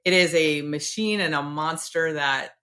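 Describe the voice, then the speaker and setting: A woman hosting a podcast interview, talking in an excitable, rambling, self-deprecating way. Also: sits low, unhurried. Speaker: podcast host. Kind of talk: casual interview chat.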